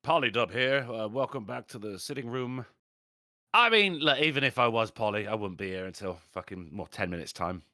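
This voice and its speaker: A man's voice: medium pitch, animated, brisk, pushed and bright, no room sound, clean studio sound. A man co-hosting a casual livestream chat, joking around and reading out chat comments. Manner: chatty, jokey, reads chat comments aloud. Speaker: podcast co-host.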